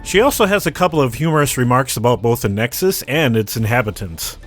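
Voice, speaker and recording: A man's voice: mid-range voice, lively intonation, fairly quick, fairly bright tone. A man reading scripted video commentary as a narrator. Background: background music.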